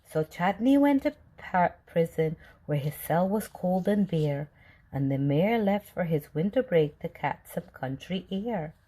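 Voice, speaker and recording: A woman reading a children's storybook aloud with deliberate, expressive phrasing. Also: lowish voice, rough recording. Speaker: storybook reader.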